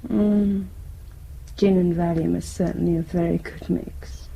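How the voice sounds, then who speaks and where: A woman's voice: fairly low pitch, steady tone, measured pace, gravelly, warm tone, breathy edge, lo-fi sound, exaggerated voice. An actress playing an anxious young British woman in a radio drama. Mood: sleepy, subdued. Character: soft, anxious young woman worried about her parents.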